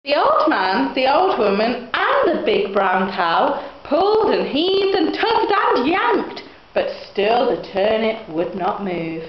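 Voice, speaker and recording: A woman's voice: fairly high pitch, big pitch swings, takes it slowly. A young woman reading a children's story aloud expressively, in the manner of a student narrator. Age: young adult. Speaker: student reader. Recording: echoey, muffled room recording.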